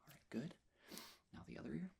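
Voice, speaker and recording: A man speaking softly and breathily, often in a whisper, gently reassuring the listener as a nurse in an ASMR medical roleplay. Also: a little hiss or hum. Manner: gentle, reassuring, unhurried personal attention. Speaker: ASMR performer playing a nurse. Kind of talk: soft-spoken ASMR medical check-up roleplay.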